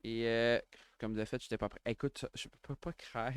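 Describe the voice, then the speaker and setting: A man with a Quebec French accent hosting a casual livestream from home, excitable and quick to exclaim and joke. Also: mid-range voice, clean tone. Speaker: livestream host.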